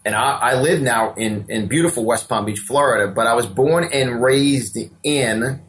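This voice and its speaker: A New York-accented man in his fifties speaks with the push of a motivational coach. He gets emphatic, repeats himself and catches on a slight stammer now and then. His pitch sits in an ordinary male middle range. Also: moderate pace, a bit bright, a little hiss or hum. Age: 50s. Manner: emphatic, preacher-like, repeats words and stammers a little. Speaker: business coach and guest. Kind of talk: motivational interview talk.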